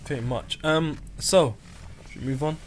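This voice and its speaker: A man co-hosting a casual chat, speaking in a calm, easygoing way with frequent ums. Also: on the high side, moderate pace. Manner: calm, relaxed, hesitant with fillers. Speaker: podcast co-host.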